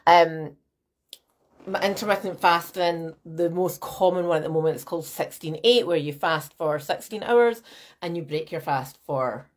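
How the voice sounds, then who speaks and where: A woman's voice: sits low, normal speed. A woman, a nutrition coach chatting through a live masterclass from a small room at home on her laptop mic. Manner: chatty, animated, gestures a lot, greets viewers by name. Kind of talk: live-stream masterclass to viewers. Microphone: laptop webcam mic.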